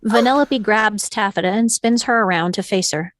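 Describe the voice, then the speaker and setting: A woman's voice: mid-range voice, moderate pace, no room sound. A woman reading screenplay stage directions as scripted narration.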